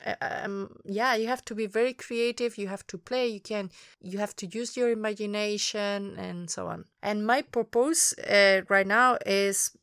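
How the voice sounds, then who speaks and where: Spanish-accented woman, smooth and thoughtful. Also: mid-range voice, steady tone, measured pace, fairly bright tone, no room sound, studio quality. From Spain. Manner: thoughtful, searching for words. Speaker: guest interviewer. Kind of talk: reflective conversational interview.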